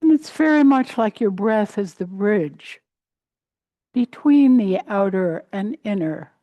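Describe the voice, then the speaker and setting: A woman teaching a guided meditation in a gentle, unhurried way, pausing between short phrases. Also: mid-range voice, expressive, no echo, lo-fi sound. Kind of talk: guided meditation, body scan.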